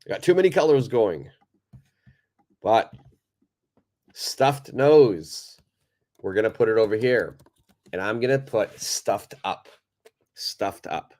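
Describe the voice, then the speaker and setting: Slow, animated man teaching English. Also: lowish voice. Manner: slow, deliberate, repeats key words for learners. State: stuffed-up nose. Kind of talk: live online English lesson.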